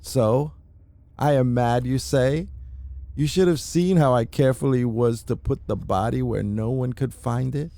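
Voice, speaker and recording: A man giving a theatrical, deliberate first-person dramatic reading of a horror story, as an anxious, mad murderer confessing. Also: mid-range voice, gravelly, mellow, dry recording. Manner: theatrical, deliberate, with emphatic repetitions. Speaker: amateur narrator reading a horror short story.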